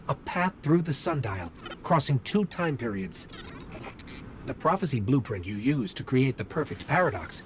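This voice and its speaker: An actor playing a composed, helpful AI guide in a video game, giving articulate, purposeful briefings with an earnest, alert manner. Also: male voice, medium pitch, noisy background. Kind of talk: dramatic video game voice acting, mission briefing.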